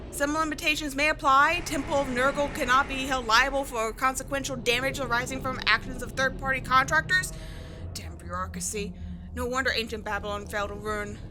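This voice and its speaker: A woman's voice: high voice, background noise. A woman acting a brash, cheeky tomboy archaeologist in a roleplay audio drama, bantering with the listener with defensive bravado. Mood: panicked bravado, then urgent, exasperated. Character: brash tomboy adventurer archaeologist, cocky, flustered, secretly sweet.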